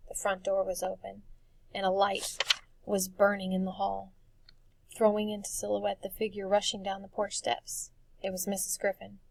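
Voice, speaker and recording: A woman reading a dark fantasy story for children as expressive scripted narration. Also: mid-range voice, vocal fry, dry recording. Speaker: audiobook narrator.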